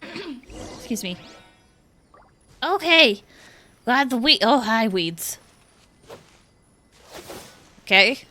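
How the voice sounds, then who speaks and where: Chirpy girl streamer chatting over a game, real high voice, slow pace, repeats herself. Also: very animated, sharp tone, faint music behind. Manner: upbeat, repeats phrases, chirpy. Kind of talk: live stream commentary, casual chat.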